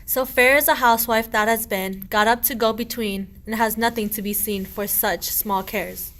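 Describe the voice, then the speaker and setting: A woman playing a grumbling, sharp-tongued housewife in a medieval radio play, scolding in archaic rhyme. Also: a little high, steady tone, moderate pace, close to an everyday voice. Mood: irritated. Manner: scolding, archaic rhyming speech.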